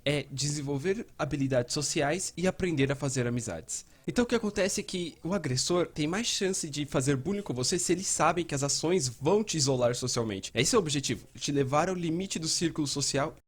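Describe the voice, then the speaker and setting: A Brazilian man dubbing a mental health educator who explains things in a conversational, animated way. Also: a little high, fairly quick, hardly any pauses, husky. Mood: earnest, explanatory. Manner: animated, acting out example dialogue. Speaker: fan dubber of an educational video.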